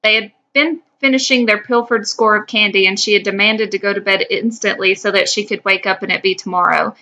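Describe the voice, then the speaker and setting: Female podcast co-host, bright voice, middle pitch, casual storyteller reading fan fiction. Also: steady tone, fairly quick.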